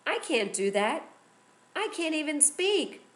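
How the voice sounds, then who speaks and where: A woman's voice: sits high, big pitch swings, slow, pitched above the performer's own voice, heavily acted. A woman playing a reluctant, protesting prophet. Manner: pleading, protesting. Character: reluctant, hesitant male prophet doubting himself.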